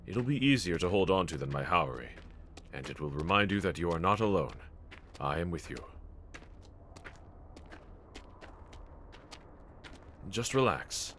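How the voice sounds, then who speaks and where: A man performs a bold anime swordsman who slays demons, earnest and reassuring at heart. His voice is bright and very animated in pitch. He speaks in formal, courteous sentences, as if in an audio roleplay addressed to a trusted companion. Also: fairly quick, a little hiss or hum. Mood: gentle, reassuring.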